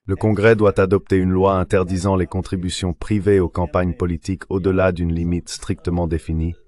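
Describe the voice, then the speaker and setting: A man's voice: low voice, little pitch movement, a little fast, darkish tone. A man's synthetic dubbing voice reading political commentary in a formal, articulate manner. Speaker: voice-over translator for a panelist.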